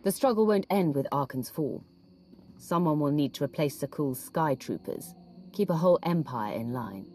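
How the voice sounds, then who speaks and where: A woman playing a composed, pragmatic dark warrior commander in a video game, serious and measured. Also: sits low, expressive. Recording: music behind the voice.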